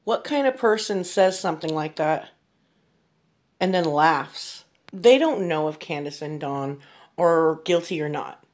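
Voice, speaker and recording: A woman's voice: low-pitched, animated, measured pace. A woman giving blunt, disapproving opinion commentary to her viewers as the host of a true crime video.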